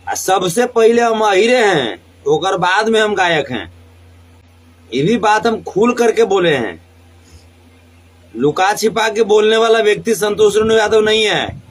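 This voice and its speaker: A man's voice: sits high, low-quality recording. A man with a Bhojpuri accent delivering an angry, defiant live rant, combative and repeating phrases for emphasis. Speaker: singer.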